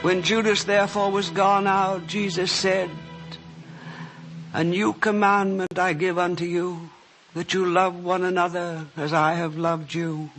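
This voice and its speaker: Slow, high-voiced solemn scripture narrator. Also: male voice, flat delivery, fairly bright tone. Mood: solemn, tender. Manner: reverent, declamatory, formal. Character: solemn male scripture narrator voicing a grave Jesus. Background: prominent music.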